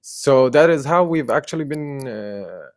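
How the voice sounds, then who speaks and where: A man's voice: medium pitch, lively intonation, a little slow, no echo, clean studio sound. A man from Jerusalem whose first language is Armenian, speaking earnestly as an interview guest, with many fillers and restarts. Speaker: activist guest.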